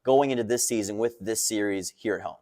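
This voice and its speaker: A man's voice: lowish voice, a little fast, warm tone. A man hosting a sports interview with an upbeat, announcer-like delivery. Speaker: interview host and radio play-by-play man.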